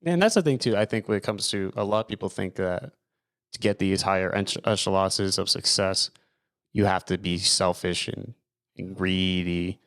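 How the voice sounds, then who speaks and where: Rambling young man with a low, dry voice. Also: normal speed, fairly bright tone, dry recording. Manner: rambling, many fillers and restarts. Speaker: podcast host. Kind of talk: casual interview chat.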